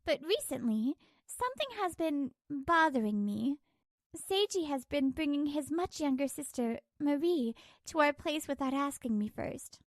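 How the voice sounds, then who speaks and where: A woman's voice: high voice, lively intonation, unhurried, no room sound. A woman voicing an exasperated, aggrieved working wife in a scripted manga dub, narrating and arguing. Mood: weary, troubled.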